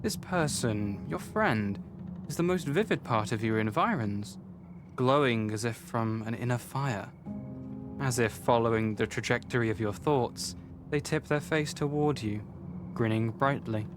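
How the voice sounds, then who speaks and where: A man reading scripted literary narration for a visual novel in a measured, formal storytelling manner. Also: medium pitch, over music.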